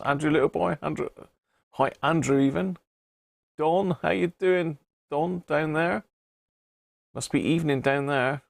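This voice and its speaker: A man hosting a casual live stream about radio repairs, croaky today, chatting and greeting viewers by name. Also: a little high, no room sound, studio quality. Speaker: radio repair livestream host.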